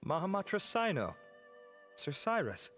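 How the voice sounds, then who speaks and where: Game role: brisk, polite young officer, slightly high voice with animated intonation. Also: male voice, music in the background. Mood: polite, dutiful. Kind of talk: video game voice acting.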